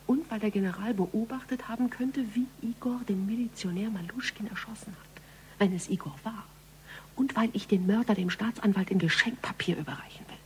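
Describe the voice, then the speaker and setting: An actress playing a wry, determined police investigator in a radio crime drama, with a hushed, pensive delivery. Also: female voice, medium pitch, brisk, natural voice, rough recording. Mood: wry, resolute. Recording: clear background noise.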